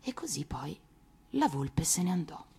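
A woman, an actress, reading a fairy tale for radio in an expressive storybook narration. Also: on the low side, unhurried, vocal fry, slightly rough.

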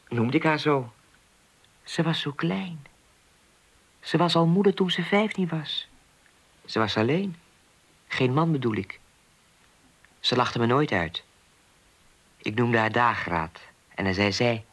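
A man's voice: medium pitch, a bit bright. An actor playing an earnest, naive, lonely man in a naturalistic radio play, talking in a hesitant, rambling, plain-spoken way. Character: earnest, naive, lonely man worried about a missing woman.

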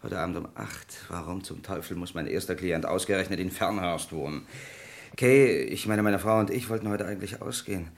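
An actor playing a good-humoured young lawyer just made partner, talking in a breezy, self-deprecating way in an old radio play. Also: male voice, sits low, a little fast, gravelly. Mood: jovial. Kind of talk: 1950s-80s radio play acting.

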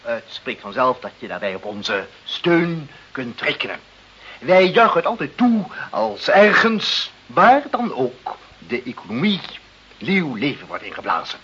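A dull, hissy old radio play recording of a self-important male director. He blusters and pontificates with a wildly swinging pitch, peevish and muddled, always fishing for agreement. His voice cuts through bright and forceful. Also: sing-song, unhurried. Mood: blustering, muddled. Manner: pompous, bureaucratic, blustering, seeking agreement.

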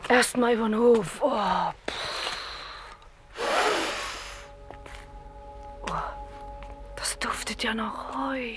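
Slow, dreamy watchwoman. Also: on the high side, music in the background. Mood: dreamy delight. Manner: halting, musing, murmuring to herself. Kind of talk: German radio play acting.

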